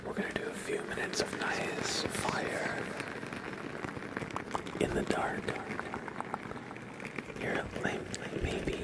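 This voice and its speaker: A man hosting an ASMR livestream, speaking in soft whispers with a gentle, playful manner. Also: slow pace, pauses often. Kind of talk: ASMR, whispered roleplay and chat with viewers.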